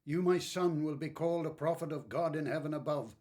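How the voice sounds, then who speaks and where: A man's voice: fairly high pitch, fairly quick, a little mellow, natural voice. An actor playing an elderly, devout and tender father in a biblical radio play. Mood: exalted, prophetic.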